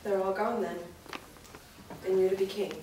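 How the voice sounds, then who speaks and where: A woman's voice: medium pitch, steady tone, normal speed, mellow, natural voice. A woman playing a guarded young woman who firmly sends an old love away, read at a staged reading in an echoey studio. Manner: firm, guarded. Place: large indoor rehearsal studio. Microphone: far camera mic. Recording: noisy echoey studio from far off.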